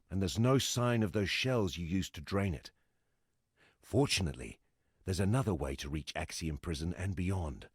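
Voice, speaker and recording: An actor playing a gruff, weary ex-smuggler in a video game, blunt and earthy in his speech, with a gravelly, husky voice. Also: low voice, darkish tone, no echo, studio quality, heavily acted. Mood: businesslike. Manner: blunt, earthy, salty-tongued. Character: gruff, weary, plain-spoken exiled former smuggler, adult man. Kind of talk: scripted video game character dialogue.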